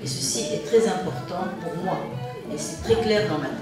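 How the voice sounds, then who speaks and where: A woman speaking deliberately in an interview, searching for words. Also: on the low side, big pitch swings. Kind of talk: interview excerpt. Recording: echoey, distant mic, music under.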